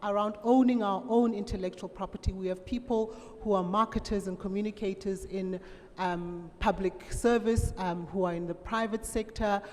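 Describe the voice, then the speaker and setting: A woman moderating a forum Q&A, speaking in a measured, hesitant way with many pauses. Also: medium pitch, fairly even intonation, darkish tone. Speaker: panel moderator. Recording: echoey conference hall, faint noise.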